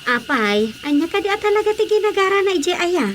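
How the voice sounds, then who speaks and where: A woman voicing an excitable young villager with a squeaky voice and an Ilocano accent in a radio drama comedy. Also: a little fast, over loud music. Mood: surprised, teasing. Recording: faintly noisy radio drama track.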